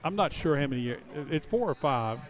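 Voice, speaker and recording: A man's voice: fairly high pitch, expressive, brisk, low-quality recording. A man giving live analytical commentary on a football game, explaining plays in a conversational way. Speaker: color commentator.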